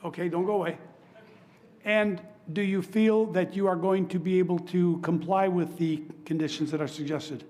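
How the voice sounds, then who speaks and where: Hoarse guy chairing a city meeting, pretty high voice, steady and polite. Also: moderate pace. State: hoarse from laryngitis. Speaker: commission chair. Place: council chamber.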